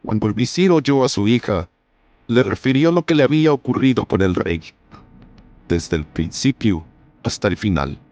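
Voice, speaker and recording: A man narrating a scripted story in a measured, formal storytelling manner. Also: mid-range voice, lively intonation, darkish tone, no echo.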